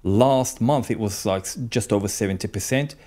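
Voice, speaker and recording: A man, a podcast guest talking casually into a boom-arm studio mic, filling his speech with "you know" and "um". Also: normal speed, darkish tone. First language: Turkish. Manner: gestures a lot, frequent "you know" and "um". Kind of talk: casual interview chat. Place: small studio with colored backdrop.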